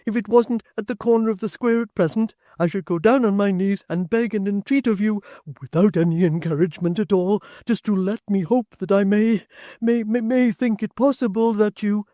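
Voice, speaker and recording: Guy reading aloud as a flustered young suitor who keeps stammering, higher than his own voice, odd timbre. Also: normal speed, warm tone, dry recording, studio quality, natural voice. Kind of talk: storybook reading.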